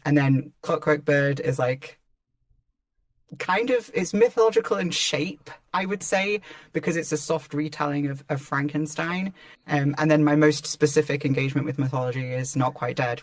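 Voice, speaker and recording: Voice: mid-range voice, no echo. An audio drama creator chatting casually on a panel, explaining their shows in a rambling, discursive way.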